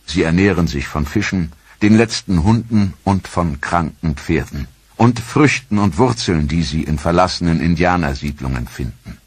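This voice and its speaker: A man narrating a history documentary in a measured, sober manner. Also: low-pitched, animated, breathy edge, no room sound. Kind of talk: scripted narration. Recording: dry studio voice-over.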